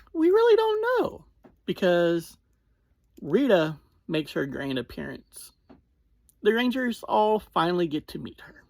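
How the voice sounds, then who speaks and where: An online reviewer giving a casual episode recap in short, halting phrases with pauses between them. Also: mid-range voice, gravelly, dry recording.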